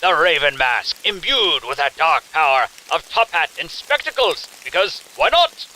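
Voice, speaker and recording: A piercingly bright, wildly swooping festival master in an audio drama. He is sinister and theatrical, taunting with mock courtesy. A faint hiss like rain sits behind the voice. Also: a little high, full character voice, low-quality recording. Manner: mock-polite, taunting showman delivery. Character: sinister, theatrical male festival host with gleeful menace.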